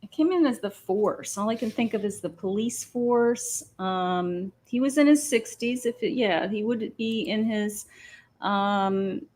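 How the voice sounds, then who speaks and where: Slow, hesitant woman giving psychic readings. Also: medium pitch, a little mellow. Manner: slow, hesitant, many ums, thinking aloud. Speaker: psychic medium host. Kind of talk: live-stream psychic reading, casual. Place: home patio.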